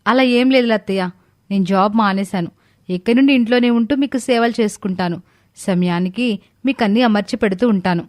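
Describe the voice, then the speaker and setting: A woman playing a clever, dutiful young daughter-in-law who speaks politely and respectfully in an animated story. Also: mid-range voice, brisk, dry recording, clean studio sound, lightly acted. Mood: polite reassurance. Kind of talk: animated moral story dialogue.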